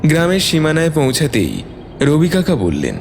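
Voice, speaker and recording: A man's voice: on the high side, huge pitch swings, moderate pace, noisy background. A man reading a scripted story as dramatic narration. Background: soft background music.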